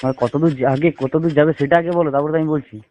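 A man's voice: fairly high pitch, steady tone, fast talker, mellow, slight background noise. A man, a car driver talking bluntly and impatiently in an informal phone conversation. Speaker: hire-car driver receiving a prank call.

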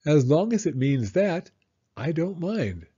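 A man voicing a humble, dreamy teddy bear in a children's storybook, slow, earnest and plaintive. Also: fairly high pitch, sing-song, mellow, breathy edge, muffled recording, voice pushed up, full character voice. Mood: puzzled. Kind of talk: children's storybook character reading.

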